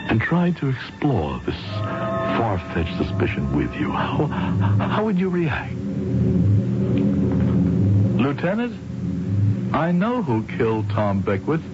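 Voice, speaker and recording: An actor playing a nervous, defensive office executive who stammers and repeats himself in an old radio drama. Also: male voice, medium pitch, wildly swooping pitch, takes it slowly, pauses often. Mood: anxious brooding. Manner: stammering repetitions, agitated, swinging delivery. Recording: dull old radio recording with music.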